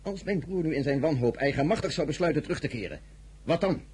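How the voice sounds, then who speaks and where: An actor in a radio play as a general's scheming brother, husky, formally polite and insinuating. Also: male voice, a little high, expressive, fast talker, a little mellow. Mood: pleading, pressing. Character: scheming, courteous brother of an ambitious French general.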